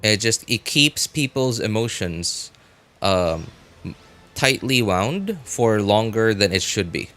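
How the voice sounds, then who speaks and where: A man's voice: on the low side, very animated, slow, a bit bright, soft background music. A man chatting casually as a game streamer, thinking aloud in a rambling, repetitive way. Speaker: game livestreamer. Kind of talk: casual livestream chat.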